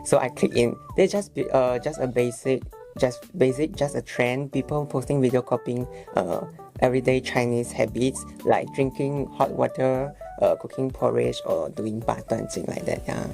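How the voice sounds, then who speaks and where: Gravelly-voiced young man from Malaysia. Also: mid-range voice, over music. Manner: halting, repeats himself. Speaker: guest, university student. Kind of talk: casual podcast chat.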